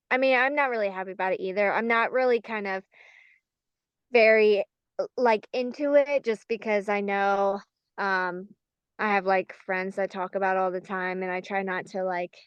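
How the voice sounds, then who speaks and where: Smooth-voiced young American woman, dry close sound. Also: mid-range voice, steady tone, no echo, highs cut off. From United States, Southern Maryland from age four. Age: young adult. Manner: halting, informal, filler-heavy. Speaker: podcast guest. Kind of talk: casual personal interview.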